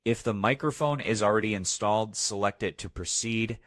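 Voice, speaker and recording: A man narrating a scripted step-by-step software tutorial as its host. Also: on the low side, normal speed, dry recording.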